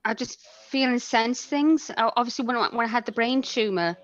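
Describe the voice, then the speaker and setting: High-voiced woman, dry sound. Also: moderate pace, no room sound, highs cut off. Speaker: podcast guest. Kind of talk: casual personal storytelling.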